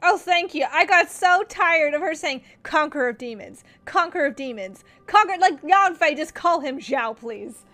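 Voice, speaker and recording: A woman streaming a game playthrough, reading dialogue aloud and commenting in a casual, expressive way. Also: high voice, normal speed, hardly any pauses. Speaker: gaming streamer. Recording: game music behind the voice.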